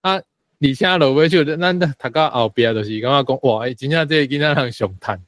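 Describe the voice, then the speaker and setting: A man's voice: medium pitch, moderate pace, fairly bright tone, no room sound. A man with a Taiwanese accent giving his reading of a story as a guest in a conversational discussion. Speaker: guest panelist.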